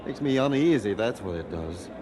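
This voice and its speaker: A man's voice: fairly low pitch, expressive, normal speed, over music, close to an everyday voice. An actor playing an uneasy, doubtful townsman in an audio drama, grumbling in a folksy, hesitant way.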